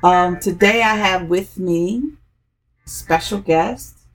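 This is a woman who hosts a podcast, and she talks at an unhurried, easy pace. Her delivery is chatty and upbeat, with plenty of ums and you-knows as she interviews her guests. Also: on the low side. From DMV area, US. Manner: chatty and upbeat, with frequent fillers. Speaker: podcast host.

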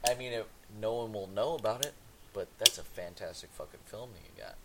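A man's voice: lowish voice, a little fast, very noisy. A man co-hosting a bad-movie podcast, teasing and playful in casual chat. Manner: teasing, playful, mock-indignant. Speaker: podcast co-host.

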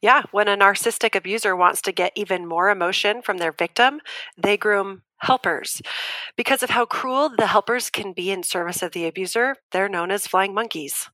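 Actress as an earnest, bright-voiced woman survivor. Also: mid-range voice, normal speed, dry recording, close to an everyday voice, low-quality recording. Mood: earnest, explaining. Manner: articulate, explanatory, insistent. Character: Julia, earnest, firm woman abuse survivor explaining patiently. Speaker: debate guest. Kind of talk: audio drama dialogue as a broadcast debate.

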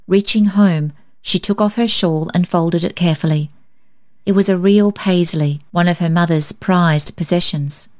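Woman reading a story, slightly low voice. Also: moderate pace. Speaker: story reader. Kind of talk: expressive scripted storybook narration.

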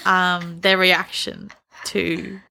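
A woman co-host speaks on the lower side in a casual conversation. She sounds informal and musing, thinking her way through what she says. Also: level tone, drawn-out words, gravelly, pushed and bright. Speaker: book podcast co-host. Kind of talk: casual chat.

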